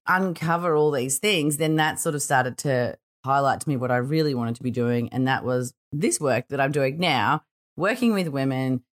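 A middle-aged Australian woman with a slightly croaky voice, hosting a solo podcast in an informal, chatty and reflective way. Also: very deep voice. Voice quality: slightly croaky early-morning voice. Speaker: podcast host.

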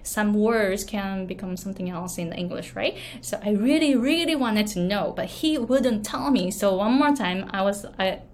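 A woman's voice: mid-range voice, animated, moderate pace, few pauses, a little mellow, slight background noise. A woman in her thirties from Japan with a Japanese accent, a pronunciation coach chatting casually and laughing often as she tells a story. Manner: laughs often, many fillers and restarts. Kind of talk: casual talk, storytelling tips.

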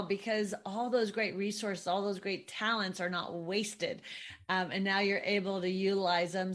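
A woman's voice: medium pitch, a bit bright. A middle-aged woman hosting a podcast, enthusiastic and effusive as she welcomes and questions her guest. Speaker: podcast host. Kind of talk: podcast interview.

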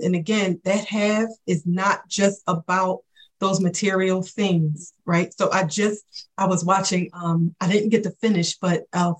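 Enthusiastic woman coach chatting. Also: mid-range voice. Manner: enthusiastic, repeats "right?" often. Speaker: podcast guest, business coach. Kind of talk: casual podcast chat.

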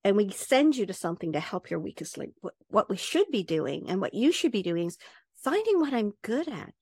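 A middle-aged woman speaks in an animated, storytelling way, jumping up in pitch whenever she acts out what others say. The sound is close and dry, with no room echo. Her voice sits at an ordinary middle pitch. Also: brisk, breathy edge. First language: English. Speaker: guest, HR professional and certified advisor. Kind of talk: conversational interview.

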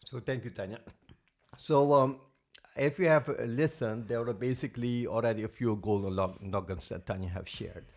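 A man's voice: medium pitch, moderate pace, darkish tone. A Dutch man co-hosting a podcast in English, explaining ideas earnestly and leaning often on "basically". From Netherlands. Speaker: podcast co-host. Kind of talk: casual podcast talk.

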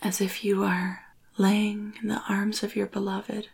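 A woman leading a spiritual guided meditation in a hushed, breathy near-whisper, slow and soothing with long pauses. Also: medium pitch, flat delivery.

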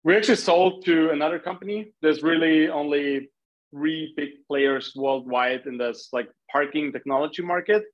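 A man with an Austrian accent and a slightly higher-than-average voice. He talks casually as an interview guest, on a slightly dull, lo-fi recording. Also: moderate pace. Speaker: startup founder, podcast guest.

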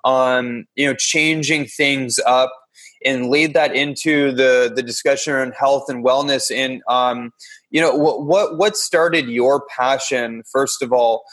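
Male host, mid pitch, loose hesitant questions. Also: fairly even intonation, a little slow, fairly bright tone, poor audio. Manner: hesitant, rephrasing questions with fillers. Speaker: podcast host. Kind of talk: casual interview questions.

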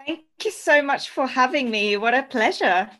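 A woman's voice: high-pitched, expressive, moderate pace, pushed and bright. A woman from Norway, giving earnest, reflective interview answers as a life coach. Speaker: podcast guest, life coach.

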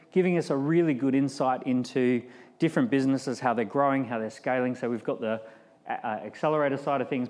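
A man's voice: medium pitch, darkish tone. A middle-aged man with an Australian accent giving a conversational keynote talk to a live audience. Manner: conversational, with fillers and restarts. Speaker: keynote speaker. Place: large room with live audience. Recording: long hall reverb.

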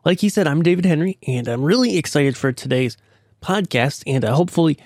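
A man's voice: on the high side, animated, fairly quick, a little mellow, no echo, clean studio sound. A man hosting his own podcast while under the weather with a cold, talking casually and rambling with many fillers. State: has a cold. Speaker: podcast host. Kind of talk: casual solo podcast talk.

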